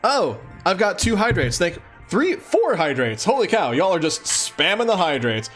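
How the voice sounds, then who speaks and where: A playful, chatty man reading a webcomic aloud and commenting casually on a livestream, often giggly and thinking out loud. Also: high voice, big pitch swings, normal speed, soft background music. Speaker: streamer.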